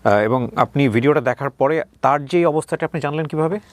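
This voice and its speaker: A man's voice: on the high side, brisk, no room sound. A man hosting an interview, cutting in with probing questions and steering the conversation. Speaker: interview host.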